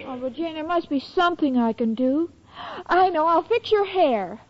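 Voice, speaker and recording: A woman's voice: sits high, animated, moderate pace, a faint crowd. A woman playing a sweet, naive, guileless young woman, eager to help, in 1940s radio sitcom style on a muffled old broadcast. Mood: eager, pleading. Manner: guileless, earnest, dim-witted delivery.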